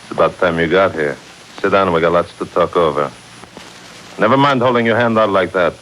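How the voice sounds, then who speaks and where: Domineering radio crime boss, deep voice, sneering orders, hissy old recording. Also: male voice, a little fast, fairly bright tone, poor audio. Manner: sneering, curt, commanding. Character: bossy, conceited, hard small-time crime boss. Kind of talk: 1940s radio crime acting.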